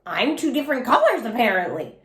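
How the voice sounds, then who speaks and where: A woman plays an excitable little schoolgirl from a storybook, exclamatory and quick to take offense. The voice sits high and leaps up and down dramatically, with childlike phrasing, in an echoey room. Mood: indignant. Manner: childlike grammar, exclamatory, indignant. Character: excitable, dramatic little schoolgirl narrating her own troubles. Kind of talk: children's storybook read-aloud.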